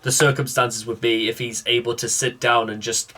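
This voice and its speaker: A man's voice: mid-range voice, little pitch movement, normal speed, bright, forceful tone, a little hiss or hum. Man musing aloud in exasperated reaction commentary.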